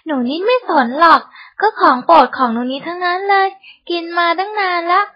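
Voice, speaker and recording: A woman's voice: extremely high pitch, animated, brisk. A woman voicing a stubborn little girl who loves sweets, whiny and sing-song. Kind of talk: storybook voice acting. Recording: dull lo-fi recording.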